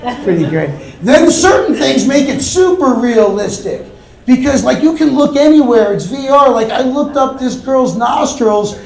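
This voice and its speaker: A young man from Miami doing a stand-up set on a comedy club stage, with a deadpan, offhand delivery and quick asides. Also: extremely high pitch. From Miami, Florida. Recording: roomy club with crowd laughter. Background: audience laughter.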